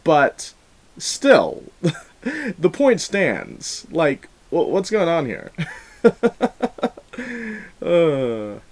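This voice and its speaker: A man hosting a film review show, talking in a casual, animated and emphatic conversational way. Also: fairly high pitch, drawn-out words, long pauses.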